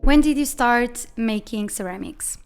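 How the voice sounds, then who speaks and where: A woman's voice: a little high, measured pace, clean tone, soft background music. Chatty Portuguese-accented young woman. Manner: chatty, many "like" fillers. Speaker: podcast host and ceramic artist. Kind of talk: casual Q&A chat.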